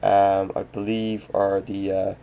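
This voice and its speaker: A man's voice: low voice, completely flat delivery, slow, vocal fry, highs cut off. A man hosting a hands-on electronics tutorial at his desk, talking casually with many ums and uhs while getting over a cold. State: recovering from a cold. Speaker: host of a hobby electronics channel. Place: home desk with computer.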